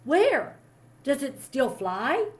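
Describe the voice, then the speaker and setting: Woman reading as an eager, high-voiced young boy. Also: very animated, drawn-out words, exaggerated voice. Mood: excited. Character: eager, proud young oyster boy in a storybook.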